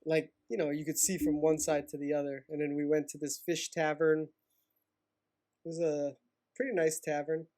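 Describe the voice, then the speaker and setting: A man in his thirties who grew up in Hawaii, co-hosting a casual podcast, excitable and quick to laugh. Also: fairly high pitch. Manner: excitable, laughs often, says "man" and "dude". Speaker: podcast co-host. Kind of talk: casual chat.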